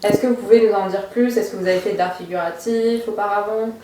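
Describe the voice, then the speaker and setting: A woman's voice: mid-range voice, fairly even intonation, quick pace, dark tone, a little hiss or hum. A young woman in her twenties interviewing an artist in a gallery, polite and hesitant with fillers. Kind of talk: casual interview. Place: art gallery room. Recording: roomy sound from off mic.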